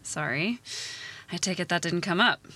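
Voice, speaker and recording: A woman's voice: on the low side, moderate pace, pushed and bright, husky. A woman playing a jaded, blunt schoolteacher with a dry, sardonic manner, in a naturalistic audio drama. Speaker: actor in an audio play.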